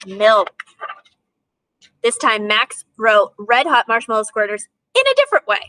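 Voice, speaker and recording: A woman's voice: on the high side, sing-song, measured pace, bright, forceful tone, no echo, poor audio. A woman, an elementary teacher leading a read-aloud lesson for young children, asking questions and prompting as she goes.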